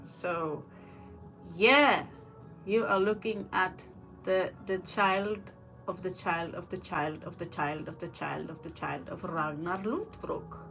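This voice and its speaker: A woman gives a live tarot reading at a slow, unhurried pace. She rambles and drifts into personal asides between the cards. Faint music plays underneath her voice. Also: fairly low pitch, fairly even intonation, gravelly, fairly bright tone, low-quality recording. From Norway. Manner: rambling, personal asides, reads out viewer questions. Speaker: tarot reader. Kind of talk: live tarot reading, chatty answers to viewer questions.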